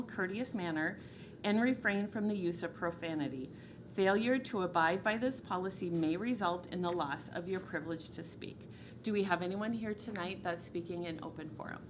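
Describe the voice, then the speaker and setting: A woman with a Minnesotan accent chairing a city council meeting, reading agenda items in formal procedure with frequent ums. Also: medium pitch. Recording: noisy council chamber recording.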